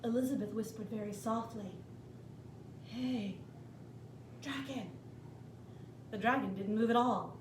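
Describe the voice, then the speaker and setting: A woman reading a bedtime story to children as an expressive narrator. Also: mid-range voice, a little fast. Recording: roomy, distant, noisy home recording.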